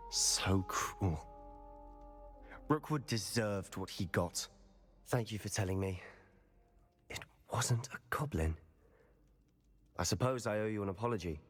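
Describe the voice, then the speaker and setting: An actor playing an intense, brooding young wizard student, speaking emotively in a video game drama. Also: male voice, fairly low pitch. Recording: reverberant hall, music behind.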